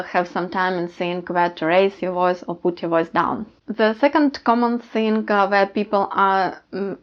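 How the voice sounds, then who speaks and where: A woman with a Ukrainian accent, a language teacher talking casually to learners and pausing often with "uh" fillers. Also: medium pitch, dull audio. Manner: many "uh" fillers, thoughtful.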